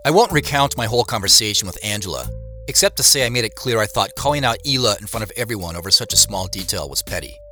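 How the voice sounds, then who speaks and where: An actor playing a measured, wry tech company boss who narrates an audio drama in the first person. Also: male voice, lowish voice, lively intonation, bright, forceful tone, faint music behind. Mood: wry, reflective. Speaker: narrator and lead character.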